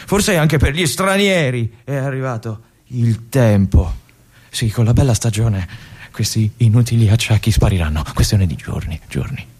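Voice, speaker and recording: An actor playing an ailing, forsaken veteran lieutenant at a mountain fortress in a theatrical monologue, halting and repeating his words with brooding bitterness and yearning. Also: mid-range voice, lively intonation, darkish tone, husky. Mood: weary, resigned. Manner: halting, repeating words, brooding, swinging from whispers to anguished cries. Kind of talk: theatrical monologue, literary stage drama acting.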